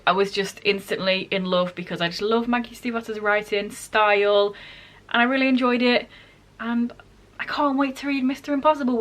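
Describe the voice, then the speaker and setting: A woman's voice: on the high side, a bit bright. A woman vlogger chatting informally to her viewers, correcting herself and wandering into asides as she goes. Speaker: book vlogger, host. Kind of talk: casual chatty vlog talk to viewers.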